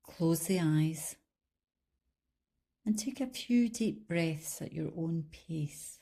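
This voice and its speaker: A woman leading a guided body scan meditation in a calm, soothing, unhurried manner, leaving long pauses between instructions. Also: sits low. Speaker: meditation guide, life coach.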